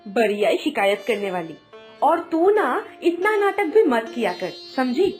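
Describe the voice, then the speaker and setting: Woman acting a high-voiced, bossy elder sister-in-law. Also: sing-song, a little slow, darkish tone, soft background music. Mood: scolding, taunting. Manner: scolding, taunting, theatrical. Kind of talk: Hindi animated moral story acting.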